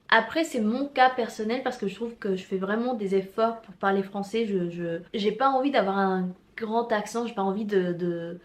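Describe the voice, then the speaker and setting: A young woman with a Mexican accent, talking at a middling pitch in a relaxed vlog chat. She is a native Spanish speaker who thinks aloud, with plenty of hesitant restarts. She sounds like she is recording at home. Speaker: vlogger. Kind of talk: casual vlog chat to camera.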